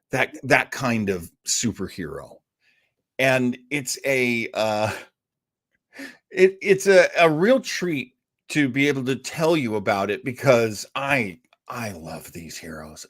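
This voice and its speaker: A man hosting a casual show, rambling, joking at his own expense and interrupting himself when distracted. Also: mid-range voice. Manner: jokey, self-deprecating. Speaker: host. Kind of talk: casual live show chat.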